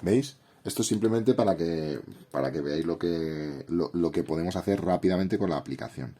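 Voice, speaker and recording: A man's voice: sits low, normal speed, warm tone. A man with a Castilian Spanish accent and a head cold, chatting informally and directly to viewers as he hosts a casual tutorial and review. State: congested with a cold. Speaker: YouTube host reviewing a drawing app.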